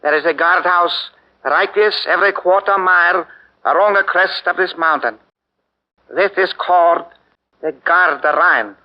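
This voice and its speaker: Brief: a curt commanding officer, fairly high voice, dull old radio sound. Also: male voice, a little slow. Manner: curt, instructive orders. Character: male Japanese army officer, brisk, commanding. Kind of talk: 1940s radio acting.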